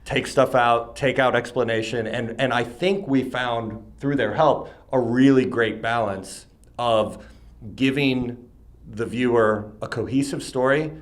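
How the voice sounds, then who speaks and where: A man with an average-pitched voice speaks as a filmmaker in a casual interview. His delivery is earnest and reflective, in plain conversational phrasing. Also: fairly even intonation, measured pace.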